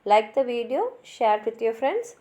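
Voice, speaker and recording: A woman with a fairly high voice presents a scripted literature lesson. Her intonation is very animated, rising and falling widely as she narrates the summary for learners. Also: moderate pace.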